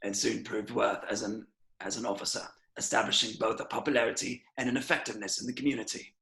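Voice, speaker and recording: A man reading a formal service record in a measured, official voice-over. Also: lowish voice, fairly even intonation, gravelly, bright, forceful tone. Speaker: voice-over reader.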